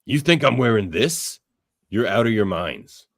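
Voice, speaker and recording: A man playing a gruff, blunt, defiant tough-guy hero in a radio drama. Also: fairly low pitch, big pitch swings, a little slow, no room sound, clean studio sound, heavily acted. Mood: irritated.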